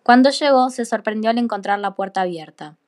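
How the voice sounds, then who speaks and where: Female storybook narrator, slightly high voice, scripted radio play delivery. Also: fairly quick, darkish tone.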